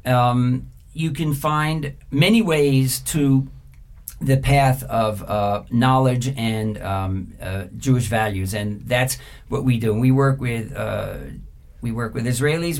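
A middle-aged man answering interview questions thoughtfully, pausing often with fillers. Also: medium pitch. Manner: thoughtful, halting, many filler pauses. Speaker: interview guest, former journalist.

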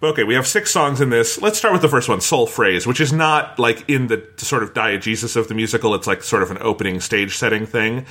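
A man's voice: medium pitch, brisk, hardly any pauses, pushed and bright. Opinionated man hosting a casual review discussion. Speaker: podcast host and reviewer.

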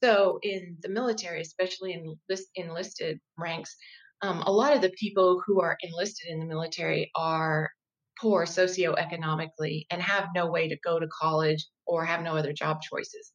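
A woman in her fifties speaks as an interview guest, her voice sitting a little low. She explains things in a measured, thoughtful way and sometimes restarts a sentence while she thinks. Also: fairly even intonation, highs cut off. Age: 50s. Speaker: guest, diversity educator. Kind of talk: conversational interview.

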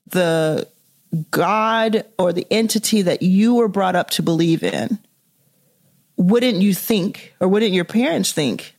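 A woman hosting a podcast interview, thinking aloud in a casual, searching way with lots of "like" and "you know.". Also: medium pitch. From Dallas, Texas, US. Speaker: podcast host.

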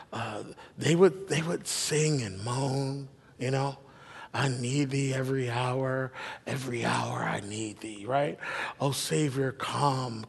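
Slow-speaking pastor in a reverberant hall. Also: male voice, medium pitch, creaky, breathy edge. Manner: halting, many restarts and fillers, soft reflective prayer. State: losing his voice a little. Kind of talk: sermon. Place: church sanctuary.

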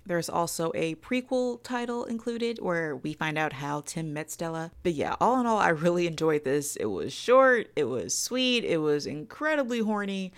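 Low creaky woman on a podcast, casual review chat, blunt and sweary, really into it. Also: big pitch swings, moderate pace, hardly any pauses. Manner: frank, profane, enthusiastic.

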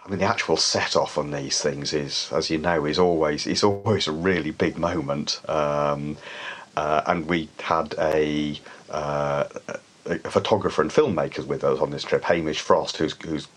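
A man's voice: very deep voice, steady tone, normal speed. A middle-aged man from the UK, an alpinist, telling climbing stories in a hesitant, reflective way with frequent restarts. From United Kingdom. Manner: hesitant, many restarts and soft laughs. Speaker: alpinist guest. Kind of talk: reflective conversational storytelling.

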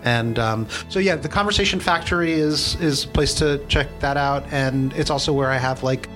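A man's voice: medium pitch, normal speed, faint music behind, rough recording. Chatty male guest full of "like". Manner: chatty, fillers of "like", animated. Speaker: guest, conversation designer. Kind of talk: casual interview chat.

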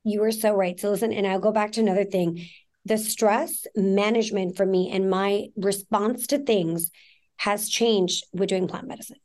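A woman's voice: medium pitch, steady tone, moderate pace, darkish tone. A woman in her forties who grew up in Pakistan, talking frankly and at length as a podcast guest, with plenty of fillers. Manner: frank, talks in long runs with fillers. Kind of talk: candid conversational interview.

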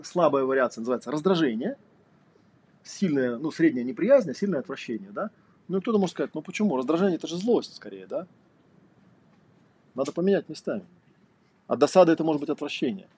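Husky, fast-talking man lecturing. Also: on the high side, animated, darkish tone. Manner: conversational, filler-heavy, rhetorical questions. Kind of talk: casual lecture.

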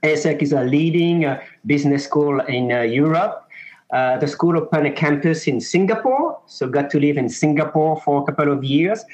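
A middle-aged man from Mauritius with a French accent, answering interview questions as a sales professor, pausing often with "uh". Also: fairly high pitch, darkish tone, muffled recording. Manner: thoughtful, many "uh" pauses.

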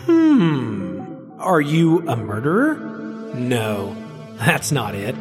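A man's voice: medium pitch, huge pitch swings, extremely slow, soft background music, full character voice. A man voicing a playful, mocking, scarred demon-like man in a spoken story. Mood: teasing. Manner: teasing, sarcastic.

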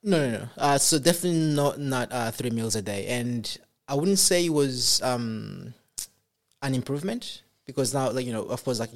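Soft-spoken halting young man, from Congo. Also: medium pitch. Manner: soft-spoken, halting with many fillers and restarts. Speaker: podcast guest. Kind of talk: casual interview chat.

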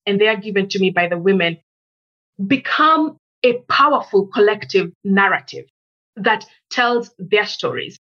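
A woman from Kenya, a researcher, giving a measured, reflective interview answer and building her points in lists. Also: medium pitch, a bit bright, highs cut off.

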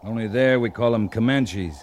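A low-pitched actor's portrayal of a male cavalry captain from an old radio western. He is terse and understated, with steady authority. The vintage recording carries faint noise. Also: moderate pace, natural voice. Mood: calm, watchful. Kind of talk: 1950s radio western acting.

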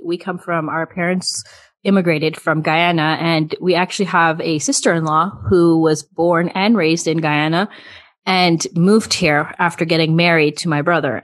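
A woman co-hosting a podcast, chatting in an animated way and laughing often. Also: lowish voice, dry recording. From United States. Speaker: podcast co-host. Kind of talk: casual chat.

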